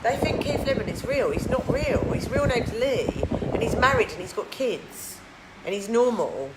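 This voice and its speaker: A tired woman with a husky voice, chatting casually on a live stream and answering viewer comments. Her throat is huskier than usual, and she rambles, filling her talk with "like". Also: on the high side, lively intonation, normal speed. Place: indoors in a hot treatment clinic room. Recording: echoey room with loud background noise.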